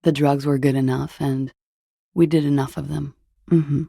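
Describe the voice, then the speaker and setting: An actress playing a jaded, hard-partying young disco dancer in a video game, husky and languid, with a guarded, deadpan delivery. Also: female voice, low-pitched, normal speed, no room sound, studio quality, heavily acted. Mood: wry, languid. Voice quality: husky, gravelly with vocal fry. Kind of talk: video game voice acting, intimate dialogue.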